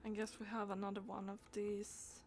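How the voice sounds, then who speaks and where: A woman's voice: mid-range voice, monotone, normal speed, a little mellow, slight background noise. A woman streaming a game, reading dialogue aloud and chatting as she plays, with stumbles and repeated words.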